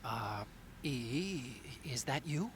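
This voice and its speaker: A man's voice: mid-range voice, lively intonation, slow pace, slight background noise. An actor playing a nervous young male engineer who hesitates and stammers. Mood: anxious.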